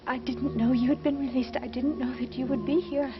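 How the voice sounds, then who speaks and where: An actress playing a remorseful woman who speaks softly and hesitantly, in a soap opera. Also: high-pitched, fairly even intonation, fairly quick, natural voice. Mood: pleading. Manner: soft, hesitant, at times whispering. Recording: dull lo-fi TV recording with loud music.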